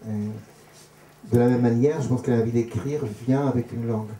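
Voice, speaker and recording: Soft-spoken halting man, very dark voice. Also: mid-range voice, fairly even intonation. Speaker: writer interviewed. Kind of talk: reflective interview answers. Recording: roomy with faint ambience.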